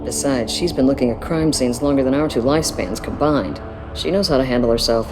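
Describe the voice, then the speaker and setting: An actress playing a dutiful, weary police detective in a video game, speaking plainly. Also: female voice, extremely low pitch, fairly quick, in a large hall, music in the background. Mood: firm, wry.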